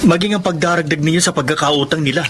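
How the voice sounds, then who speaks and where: Man acting a highish-voiced, principled grown son. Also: quick pace, lightly acted. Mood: earnest, reproachful. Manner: earnest, respectful but firm. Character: principled, earnest grown son pleading for decency. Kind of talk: Filipino radio drama acting. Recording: clear background noise.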